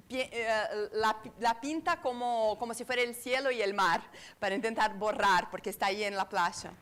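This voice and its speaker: A woman with an Argentine accent speaks at a conference in a fairly high voice. She talks her way through ideas with fillers and false starts and keeps turning to the room for agreement. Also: a bit bright. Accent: Argentine Spanish. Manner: searching, halting, many fillers and restarts; asks the room for agreement with tag questions. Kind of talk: lecture to a live audience.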